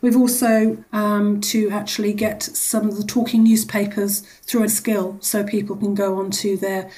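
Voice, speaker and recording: A woman's voice: medium pitch, flat delivery, moderate pace, dark tone. Chatty woman explaining things in an interview. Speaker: interview guest, charity deputy chief executive. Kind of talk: conversational radio interview.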